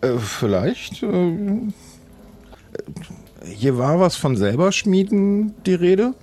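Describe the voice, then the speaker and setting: A man's voice: sits high, very animated, takes it slowly, mellow. A man playing along in an improvised audio adventure, speaking casually in character. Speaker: player in an improvised audio play. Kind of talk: casual improvised role-play.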